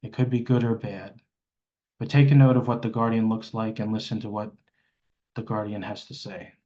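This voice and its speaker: A man with a fairly low voice leads a guided meditation on a live stream. He feels his way through the instructions, often pausing mid-phrase before carrying on. The sound is slightly muffled and lo-fi. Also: fairly even intonation, dark tone.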